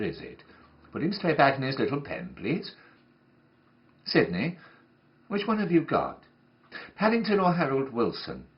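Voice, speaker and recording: A man takes on the part of a genteel woman running a nursery class in a comic monologue. The delivery swings wildly up and down in pitch, brightly patient one moment and gently scolding the next, always coaxing as if to small children. The recording is a little muffled and lo-fi. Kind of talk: performed comic monologue reading.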